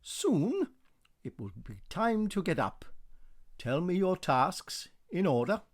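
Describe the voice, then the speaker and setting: A man plays a prim, house-proud widow running a guest house in a radio play. She is bossy and clipped, giving fussy commands in a raised, animated pitch. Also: slow, heavily acted. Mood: commanding. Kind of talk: radio play verse drama.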